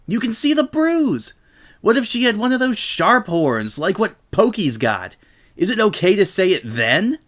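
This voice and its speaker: A brash young pegasus mare from a cartoon-style story reading, acted out by a man. The voice is pushed up high and comes out loud and indignant, full of defensive exclamations. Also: big pitch swings, measured pace, heavily acted.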